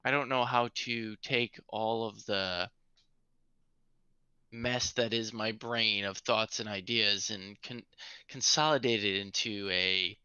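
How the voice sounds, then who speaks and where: A man's voice: lowish voice, fairly even intonation, slow pace, pushed and bright, smooth, no echo, dull audio. A man chatting casually as a guest on a talk show, rambling through his thoughts with many fillers. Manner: rambling, lots of fillers, friendly.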